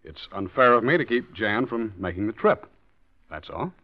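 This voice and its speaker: Falsely cheerful scheming husband voiced by a man, lively, old lo-fi radio play. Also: lowish voice, moderate pace, natural voice. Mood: breezy. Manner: breezy, falsely agreeable. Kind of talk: 1940s radio acting. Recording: dull old radio recording.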